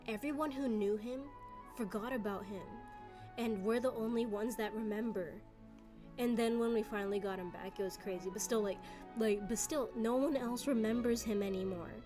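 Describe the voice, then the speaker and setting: A woman's voice: medium pitch, warm tone. A woman giving casual gameplay commentary, rambling with lots of fillers and slang. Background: game music and dialogue.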